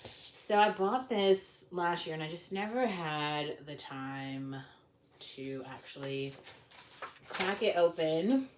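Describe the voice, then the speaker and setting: A woman with a fairly low voice takes her time, very slow and unhurried. She sounds like a gossipy, slangy host, chatting casually and reading a story aloud, slipping into whispers at times. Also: a little hiss or hum.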